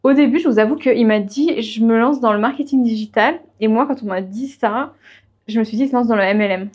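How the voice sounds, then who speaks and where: A woman's voice: on the high side, fairly quick, darkish tone, husky. A young woman podcast guest, telling her own story in a casual, conversational way. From of Portuguese family background. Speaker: podcast guest, freelance web writer.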